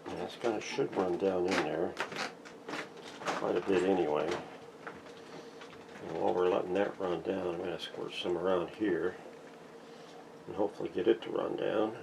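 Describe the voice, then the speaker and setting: Man, deep voice, creaky fry, casual workshop how-to, plainspoken, noisy background. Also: fairly even intonation, unhurried, pauses often. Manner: plainspoken, narrates each step as he works. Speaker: vintage radio restorer and host. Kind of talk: casual hands-on workshop demonstration. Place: home workshop.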